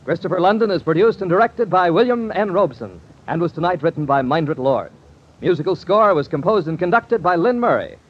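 Male 1950s American radio announcer, high and husky, formal promo delivery over music, dull old broadcast sound. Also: lively intonation, fairly quick. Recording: dull vintage radio recording, music behind.

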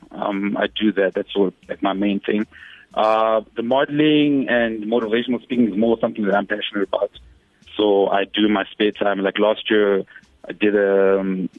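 A man's voice: lowish voice, dry recording. A man in his thirties from Zimbabwe, a radio interview guest talking casually with lots of fillers and restarts. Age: 30s. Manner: relaxed, many fillers and restarts. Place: radio studio. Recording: dry, phone-like radio studio sound.

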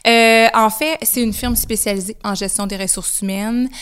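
A woman's voice: fairly high pitch, fairly even intonation, moderate pace, a bit bright, smooth. A woman from Quebec with a Québécois French accent, a guest in a podcast interview, speaking candidly and thoughtfully with many hesitations. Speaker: guest entrepreneur.

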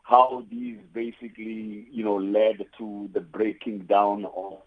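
A South African man giving conversational interview answers in a halting way, often saying "you know". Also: lowish voice, animated, vocal fry. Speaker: guest, theatre playwright and producer. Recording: tinny phone-like line.

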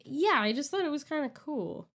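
A woman's voice: high-pitched, big pitch swings, normal speed, a bit bright. A woman with a mild Australian accent co-hosting a podcast, chatting casually with lots of laughs and hesitant fillers. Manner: giggly, hesitant, many fillers. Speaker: podcast co-host.

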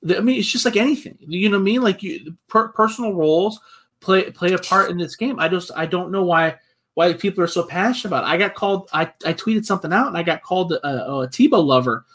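Excitable husky-voiced man. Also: sits high, fast talker. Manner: excitable, profane, repeats himself. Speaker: podcast host. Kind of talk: casual sports chat.

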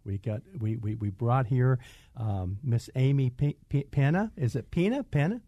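A man's voice: medium pitch, animated, normal speed, mellow, no room sound, dull audio. Chatty older male radio host. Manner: chatty, repeats words, stumbles. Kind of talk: casual radio talk.

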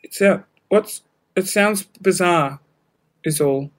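A young Australian woman hosting her podcast at home, her voice worn hoarse, chatting in a rambling, self-mocking way with scattered asides. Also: lowish voice, slow. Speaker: podcast host. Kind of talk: casual solo podcast chat. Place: home room, balcony door open.

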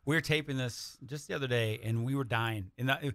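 A man's voice: mid-range voice, brisk, fairly bright tone, dry recording. A man speaking as a writer guest in a casual, joking, conversational radio chat.

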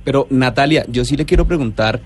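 A man co-hosts a radio interview and fires off a run of questions in quick succession. His voice sits at an ordinary middle pitch, and he sounds direct. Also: slightly rough, soft background music.

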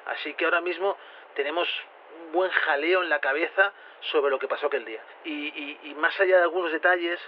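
A man's voice: a little high, brisk, close to an everyday voice. An actor playing a flustered, careful male researcher who answers interview questions hesitantly, repeating himself. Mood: earnest, flustered. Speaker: interviewee on a radio programme. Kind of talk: spontaneous-sounding phone-in interview. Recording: dull, noisy radio link.

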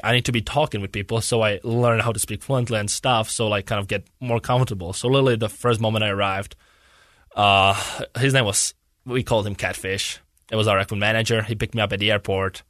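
A man's voice: fairly low pitch, fairly even intonation, fast, a bit bright, no room sound, clean studio sound. A young man from Czechia speaking English as an in a casual interview, searching for words and rambling earnestly. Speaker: guest, hockey player.

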